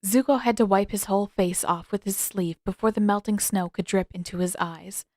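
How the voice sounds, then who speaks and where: A woman's voice: mid-range voice, steady tone, normal speed, a little mellow, dry recording, studio quality. A woman reading scripted fiction as an audiobook narrator.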